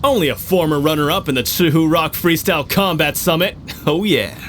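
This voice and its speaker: A man's voice: fairly high pitch, a little fast, natural voice. An actor playing a boastful, cocky young male brawler in a video game, bragging with a swagger. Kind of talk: animated video game dialogue. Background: background music.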